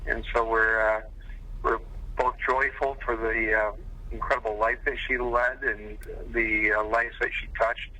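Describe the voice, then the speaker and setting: A man answering in an interview with halting pauses, as a senior official. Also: medium pitch, flat delivery, sharp tone, poor audio. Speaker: hospital president. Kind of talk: interview response.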